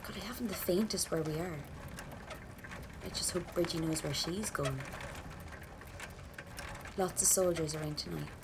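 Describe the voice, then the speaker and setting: An actress playing a nervy, grumbling young Irish rebel, thinking aloud in an Irish accent in an audio drama. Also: female voice, on the low side, moderate pace, pauses often, close to an everyday voice. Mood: nervous, irritated. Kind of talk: audio drama inner monologue. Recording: noisy outdoor-style scene ambience.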